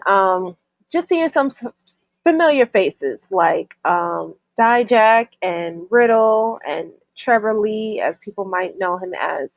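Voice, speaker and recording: A woman podcaster from New York, a little stuffy from a cold, chatting at an unhurried pace with plenty of ums. Her voice comes through dry and somewhat dull, like a lo-fi home setup. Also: medium pitch, no echo. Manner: chatty, with frequent ums and restarts. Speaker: co-host. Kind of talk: casual chat.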